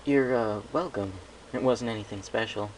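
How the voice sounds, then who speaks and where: A man's voice: fairly low pitch, normal speed, close to an everyday voice. A man voicing a shy, polite young male pony who speaks hesitantly, in a story reading. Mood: bashful. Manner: hesitant, soft-hearted. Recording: noisy home recording.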